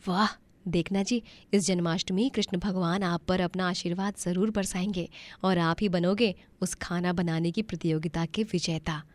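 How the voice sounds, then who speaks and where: A woman voicing a gentle, devout wife in a moral story, soothing and encouraging. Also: fairly low pitch, fairly even intonation, moderate pace, no echo, natural voice. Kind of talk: acted moral-story dialogue.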